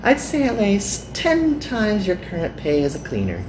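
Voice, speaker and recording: A man's voice: sits high, huge pitch swings, a little slow, echoey room, background noise, voice pushed up, full character voice. A man voicing an earnest, perky young magical girl from an anime game.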